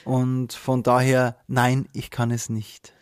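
A man's voice: mid-range voice, completely flat delivery, takes it slowly, clear, resonant tone, dry recording. A man co-hosting a podcast who speaks with a regional dialect, chatty and joking, often laughing and using filler sounds. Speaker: podcast co-host, wedding speaker and teacher. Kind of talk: casual podcast chat.

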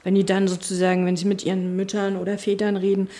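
A woman's voice: mid-range voice, completely flat delivery, fast talker, dark tone. A middle-aged woman, a politician, talking casually in an interview, thinking aloud with many fillers and restarts. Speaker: politician, spokesperson for family and education. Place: her constituency office.

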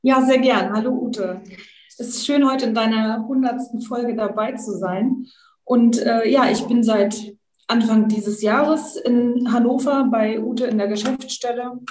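A woman who works as a financial advisor speaks warmly and fills her sentences with many ums. Her voice is slightly high and mellow. An echoey room surrounds her. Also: fairly even intonation, measured pace. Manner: warm-hearted, many fillers.

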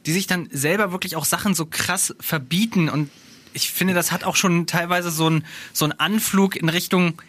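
A man co-hosting a casual podcast, speaking calmly and giving measured advice. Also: high-pitched. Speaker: radio podcast co-host. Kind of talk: casual chat.

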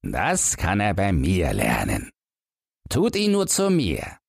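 A man's voice: low voice, wildly swooping pitch, slow, vocal fry, husky, dry recording, clean studio sound, heavily acted. A man voicing a sly, self-assured village sexton in a fairy-tale audiobook. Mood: confident.

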